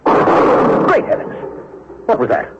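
An actor playing a stuffy, suspicious police inspector in a radio drama, officious and skeptical. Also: male voice, slow pace, heavy background noise, natural voice. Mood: wry, startled. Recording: dull vintage radio broadcast.

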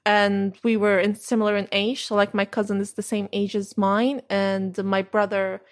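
A woman's voice: mid-range voice, flat delivery, normal speed. Hesitant young woman from Syria. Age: young adult. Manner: hesitant, many fillers and restarts. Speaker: interview guest. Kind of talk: casual interview answers.

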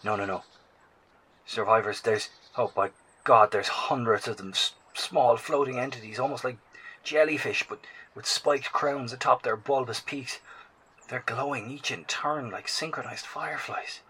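An actor playing an anxious, scholarly survivor who broadcasts earnest, hesitant field reports in an audio drama. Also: male voice, mid-range voice, moderate pace, sharp tone. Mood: panicked, awed. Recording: clearly noisy field transmission.

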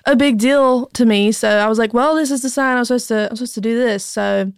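A young woman sits in as a podcast guest and talks in a chatty run of sentences, filled with "like" and small giggles. Her voice is fairly high and smooth, with creaky fry at the ends of phrases, and the sound is dry and close. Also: brisk, dry recording. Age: young adult. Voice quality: mezzo-soprano singer. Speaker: podcast guest, singer-songwriter. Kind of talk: casual interview chat.

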